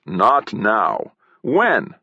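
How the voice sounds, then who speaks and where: A man's voice: lowish voice, wildly swooping pitch, extremely slow, pushed and bright, husky, no room sound, muffled recording, exaggerated voice. Man acting a bossy, bellowing male satirist. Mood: impatient. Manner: bellowing, curt, scolding. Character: bossy, irritable male satirist directing his actors. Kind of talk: audiobook character acting.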